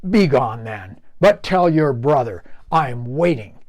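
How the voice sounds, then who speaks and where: A man's voice: medium pitch, animated, measured pace, darkish tone, natural voice. A man voicing a hungry, grumpy male troll who roars and growls at those crossing his bridge. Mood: grudging. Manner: roaring, gruff.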